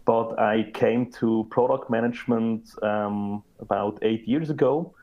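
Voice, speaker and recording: Measured Swiss man, lowish voice. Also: fairly even intonation, highs cut off. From Switzerland. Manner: measured, thoughtful, with fillers. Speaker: guest, product manager. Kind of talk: interview answers.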